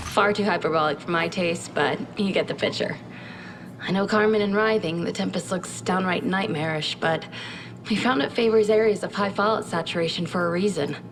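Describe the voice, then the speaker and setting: A woman's voice: mid-range voice, background noise, natural voice. A woman playing a self-assured head of research in a video game, briefing in a precise, businesslike, articulate way. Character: brisk, self-assured female research scientist. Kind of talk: scripted game mission briefing.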